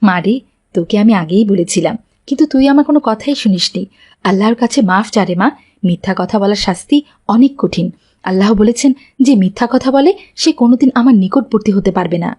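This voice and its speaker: A woman's voice: mid-range voice, expressive, fast talker, a little mellow, slightly rough. A woman playing a stern but caring mother in a children's moral cartoon, scolding and preaching. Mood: concerned.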